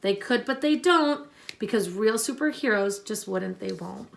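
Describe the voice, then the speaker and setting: A woman teacher reading a picture book aloud to children, expressive and instructive, pausing to explain and ask questions. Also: medium pitch, creaky. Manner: deliberate, instructive, addressing young listeners with questions.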